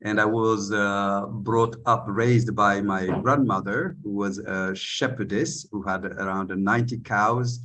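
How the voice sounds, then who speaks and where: A man's voice: lowish voice, fairly even intonation, measured pace, few pauses, fairly bright tone. Hesitant man from northern Senegal. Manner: hesitant, with many fillers and "you know". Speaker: panel speaker, eco-village leader. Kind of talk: unscripted speech on a panel.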